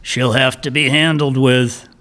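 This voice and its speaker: A man's voice: mid-range voice, fairly even intonation, unhurried, fairly bright tone, natural voice. A man playing a cocky, wisecracking henchman in a radio play. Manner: cocky, joking.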